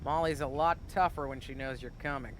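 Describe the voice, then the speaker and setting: A woman playing a composed, challenging messenger in a wrestling game cutscene, measured and pointed. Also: rumbling low, steady tone, a little hiss or hum. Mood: cool challenge. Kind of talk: video game cutscene acting.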